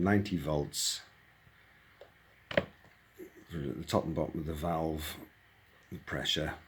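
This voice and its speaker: A man with a low-pitched voice gives an informal, hands-on demonstration. He thinks aloud as he explains, like a hobbyist presenter. A faint noise sits behind his voice.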